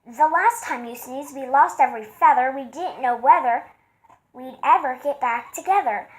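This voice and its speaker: Flock of fretful birds, voiced by a woman; shrill and begging. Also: normal speed, natural voice, poor audio. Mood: pleading. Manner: shrill and pleading. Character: flock of fussy pleading birds.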